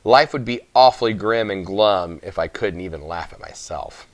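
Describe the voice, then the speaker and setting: A man's voice: deep voice, lively intonation, moderate pace, dry recording, voice pushed down. A man voicing a small twelve-year-old boy in a storybook, earnest, questioning and anxious. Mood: mildly indignant.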